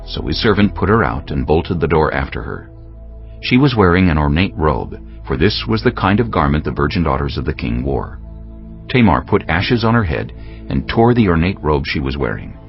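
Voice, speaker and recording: Very deep, gravelly male narrator. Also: big pitch swings, normal speed. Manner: solemn storytelling. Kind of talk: scripted dramatic scripture narration. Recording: slightly dull audio with music bed.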